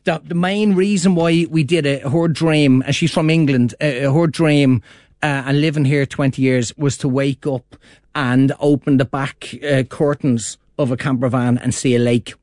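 A man's voice: medium pitch, fairly even intonation, moderate pace, darkish tone, dry recording. An Irish man tells a rambling, joking story on a radio panel, breaking into laughs. His voice sits in the middle range and sounds close and dry, with no room around it. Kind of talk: casual radio banter.

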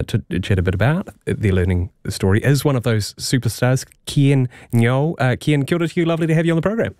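A man's voice: medium pitch, darkish tone, smooth, no room sound, clean studio sound. A man hosting a radio interview, friendly with stumbling restarts. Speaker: radio host.

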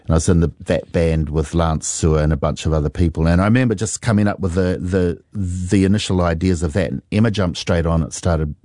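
NZ musician, middle-aged man, very deep dark voice, dry close sound, reflective storyteller. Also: fairly even intonation, dry recording. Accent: New Zealand. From New Zealand. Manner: reflective, unhurried, many fillers. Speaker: musician guest. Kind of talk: relaxed interview storytelling.

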